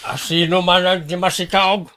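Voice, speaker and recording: A man's voice: high-pitched, level tone, normal speed, pushed and bright. Radio drama father: middle-aged, emphatic grumbler with a supportive streak, northern Philippine accent. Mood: resigned, supportive.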